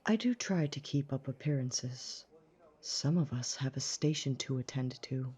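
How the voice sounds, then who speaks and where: A woman playing a haughty, menacing, status-proud figure with a dark husky voice and cutting manner in an audio drama. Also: extremely low pitch, measured pace, lo-fi sound, heavily acted. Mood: icy, threatening. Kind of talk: audio roleplay drama acting.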